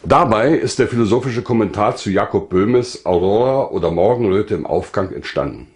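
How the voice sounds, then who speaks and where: Older man, low-pitched formal narration. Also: expressive, normal speed, darkish tone. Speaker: writer narrating his life story. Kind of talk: scripted autobiographical narration.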